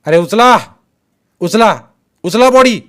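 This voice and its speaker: A man voicing an aggressive, coarse masked gang ringleader in an audiobook, shouting insolently and barking orders. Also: high voice, animated, takes it slowly, pitched above the performer's own voice, exaggerated voice.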